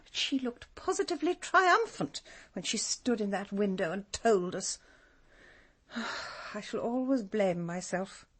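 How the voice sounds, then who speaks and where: An actress playing a genteel, easily shocked refuge matron in a radio drama, with an English accent and a proper, fluttery, self-dramatising manner. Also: female voice, medium pitch, animated, measured pace, fairly bright tone, slightly rough. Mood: shocked, regretful. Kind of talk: BBC radio drama acting.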